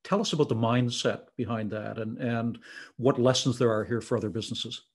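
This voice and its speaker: An older man hosting an interview over a video call from his office, asking questions in a measured, articulate way. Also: medium pitch, steady tone, slightly rough. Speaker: host. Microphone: video call webcam.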